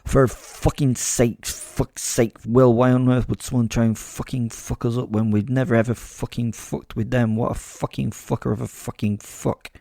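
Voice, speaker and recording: A man's voice: mid-range voice, flat delivery, moderate pace, gravelly, few pauses, mellow, dry recording, natural voice. A man voicing an irritable, foul-mouthed ancient wolf soul in a comic horror audio story, exasperated and dismissive. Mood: exasperated, furious.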